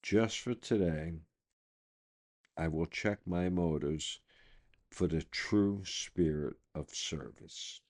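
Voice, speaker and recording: A man reading a daily recovery meditation aloud in a measured, deliberate way. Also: deep voice, a little mellow. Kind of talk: slow read-aloud meditation.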